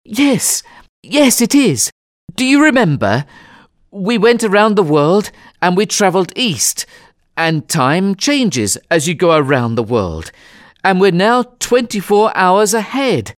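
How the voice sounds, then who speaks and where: An excitable, loyal manservant from a storybook, as a man acts him out. His voice jumps well up in pitch with urgent, breathless energy. It is recorded dry and close. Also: takes it slowly, few pauses, no room sound, heavily acted. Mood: urgent, excited. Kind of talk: dramatised audiobook dialogue.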